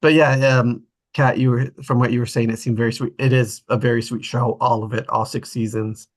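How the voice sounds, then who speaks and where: A man hosting a casual online group discussion, chatting informally with a slightly husky voice. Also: mid-range voice, level tone, fairly quick. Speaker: host of a table read discussion.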